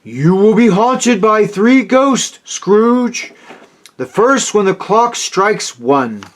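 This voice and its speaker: A man's voice: high voice, animated, takes it slowly, voice pushed up. A man voicing a solemn ghost in chains for a storybook, declaiming an ominous warning.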